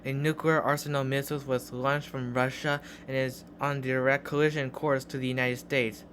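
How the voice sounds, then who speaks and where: A man's voice: mid-range voice, level tone, moderate pace, fairly bright tone, a little hiss or hum. A man delivers a scripted mock emergency broadcast in a formal, official announcer's manner.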